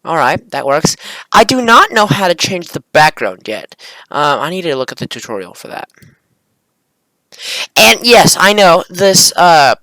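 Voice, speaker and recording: Young male video creator, forceful bright tone, dry close sound, casual rambling delivery with self-corrections. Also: a little high, sing-song, unhurried, dry recording, low-quality recording. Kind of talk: casual screen-recording commentary, reading script aloud.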